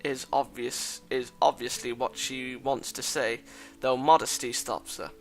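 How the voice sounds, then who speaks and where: A man's voice: medium pitch, level tone, measured pace, vocal fry. A man reading a thoughtful teenage schoolboy's lines and inner narration in a plain, reflective way, with faint music behind.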